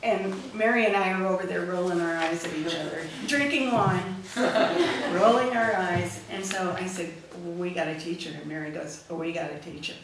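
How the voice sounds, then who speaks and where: A woman's voice: lowish voice, moderate pace. A woman telling an informal, rambling anecdote as a speaker at a memorial gathering. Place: back room at a memorial gathering. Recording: echoey noisy room, far from mic.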